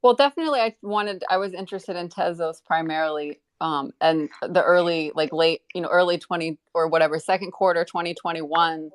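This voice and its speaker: An American woman chatting casually as an interview guest, rambling and halting as she rephrases mid-sentence. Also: fairly low pitch. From United States. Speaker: interview guest, community member. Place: live online audio group chat.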